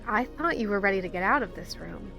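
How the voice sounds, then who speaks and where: A woman's voice: mid-range voice, lively intonation, quick pace, vocal fry, music in the background. A woman acting a determined, open-minded survivor in an audio drama, earnest and persuasive.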